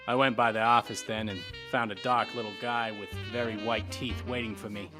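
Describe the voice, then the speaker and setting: An actor playing a tough, wry, cynical private detective in a 1940s-style radio drama, with slangy, clipped delivery. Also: male voice, on the low side, fairly even intonation, a little fast, over music, lightly acted. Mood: wry, brisk.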